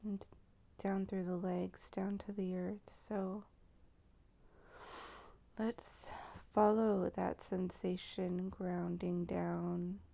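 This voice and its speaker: Slow, creaky, hushed woman guiding meditation. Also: lowish voice, little pitch movement. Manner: slow, hushed, halting delivery with pauses. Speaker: guided meditation host. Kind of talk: channeled guided meditation, improvised.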